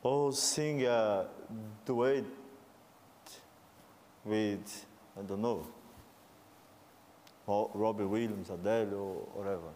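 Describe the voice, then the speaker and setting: A man's voice: lowish voice, takes it slowly, pauses often, dark tone, big-room sound, a little hiss or hum. A man from Brazil with a Brazilian Portuguese accent, a native Portuguese speaker, preaching a sermon and rising to fervent calls to the congregation.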